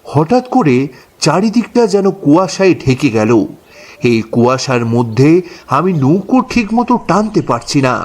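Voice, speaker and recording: A man's voice: fairly high pitch, sing-song, measured pace, darkish tone. A man narrating a horror story dramatically in the first person as a boatman.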